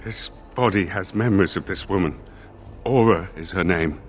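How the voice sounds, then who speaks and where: Spirit in a dead man's body male actor, fantasy game. Low, grave, measured, otherworldly formality. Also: faint music behind, close to an everyday voice. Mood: troubled, grave. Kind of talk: fantasy video game acting.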